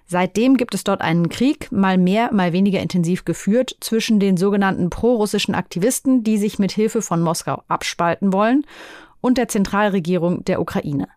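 A woman hosting a news interview, giving background in a clear, composed way before putting questions to a correspondent. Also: mid-range voice, normal speed, darkish tone, no echo, clean studio sound. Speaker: news host.

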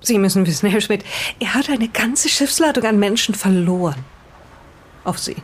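A woman playing a shocked, reproachful wife in an improvised audio drama, speaking emotionally and haltingly. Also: medium pitch, expressive, husky. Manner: emotional, indignant, halting when shaken. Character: shocked, reproachful wife clinging to hope about her husband.

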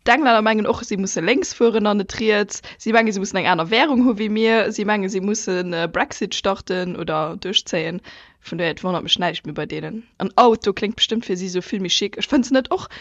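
A woman's voice: mid-range voice, brisk, no room sound, highs cut off. A woman with a Luxembourgish accent co-hosting a podcast in casual chat, laughing often and breaking off into restarts. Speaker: podcast co-host.

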